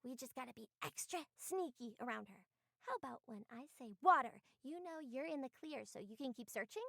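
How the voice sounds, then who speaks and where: A woman's voice: sits high, expressive, fairly quick, vocal fry, fairly bright tone, no echo, clean studio sound, voice pushed up, exaggerated voice. A woman playing a confident, teasing winged courier girl with a casual, playful manner, in a visual novel reading. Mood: sneaky, playful.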